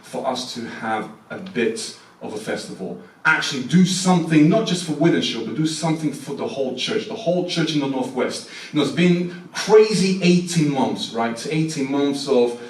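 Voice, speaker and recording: A man's voice: a little high, expressive, moderate pace, few pauses. A young man with a Portuguese accent, giving a chatty, self-deprecating talk to a church congregation, with frequent restarts. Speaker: mission team speaker. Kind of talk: informal talk at a church service. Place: church hall. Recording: echoey room, mic far off.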